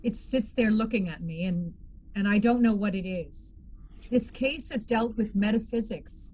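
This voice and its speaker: A woman playing a thoughtful military judge who speaks in a grave, measured, reflective way. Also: mid-range voice, slight background noise, lightly acted, rough recording. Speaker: amateur actor in a table read.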